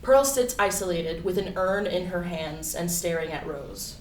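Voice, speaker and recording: The sound is distant and echoey, with faint noise. A woman reads stage directions as scripted narration, her voice at an average pitch. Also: measured pace. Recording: echoey, noisy room, distant from mic.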